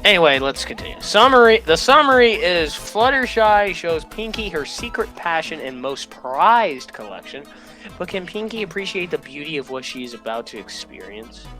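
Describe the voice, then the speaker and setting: A woman's voice: lowish voice, very animated, moderate pace, fairly bright tone. A woman hosting a casual reaction video, playfully drawling and repeating her catchphrases, with music behind her. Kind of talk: casual reacting commentary with friends.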